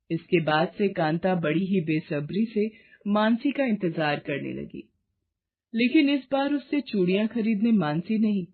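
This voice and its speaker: A woman narrates a scripted moral tale. Her pitch is average for a woman. The recording sounds narrow, as if over a phone.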